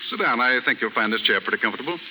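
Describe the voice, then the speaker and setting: Man playing a brisk, kindly gentleman, noisy radio. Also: medium pitch, lively intonation, close to an everyday voice. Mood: reassuring. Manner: courteous, easy reassurance. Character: well-off gentleman, calm, kindly, reassuring. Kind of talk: 1930s radio acting. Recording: very noisy 1930s radio recording.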